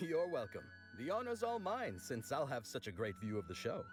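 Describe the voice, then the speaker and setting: A man's voice: mid-range voice, huge pitch swings, normal speed, music in the background. An actor playing a cheerful, eager young man in a video game, friendly and effusive. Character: cheerful eager young male costumed helper.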